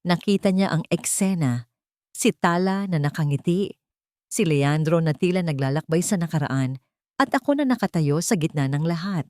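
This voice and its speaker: A woman with a Filipino accent reading a scripted first-person story as an audiobook narrator, measured and dramatic. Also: sits low, lively intonation, darkish tone, no echo, studio quality.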